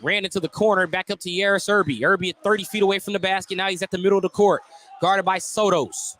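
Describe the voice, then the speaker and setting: A man's voice: high voice, steady tone, quick pace, a bit bright, a little hiss or hum. A man doing live radio play-by-play commentary of a basketball game as the announcer.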